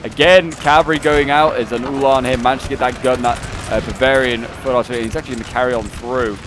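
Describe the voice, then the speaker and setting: A man's voice: medium pitch, fairly even intonation, quick pace, background noise. A man casually commentating a strategy game battle, rambling with fillers and restarts. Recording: loud game battle sounds under voice. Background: in-game gunfire and artillery.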